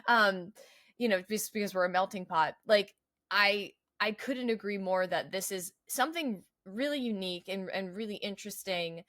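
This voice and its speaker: A woman's voice: mid-range voice, normal speed, fairly bright tone, no echo, clean studio sound. An American woman co-hosting a podcast, chatting casually in an agreeable, conversational way. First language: English. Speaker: podcast co-host.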